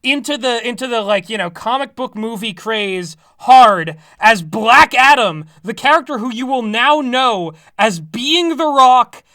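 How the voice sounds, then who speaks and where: A woman's voice: fairly high pitch, expressive, unhurried, few pauses, fairly bright tone, no room sound, studio quality. A woman co-hosting a podcast with casual, playful comedic banter. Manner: playful, riffing. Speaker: podcast co-host.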